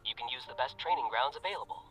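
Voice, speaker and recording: A man's voice: mid-range voice, expressive, very fast, pushed and bright, far from the mic, poor audio. A man voicing a polite, impersonal smartwatch assistant in a game, giving formal system announcements through an electronic filter. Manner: formal, instructional.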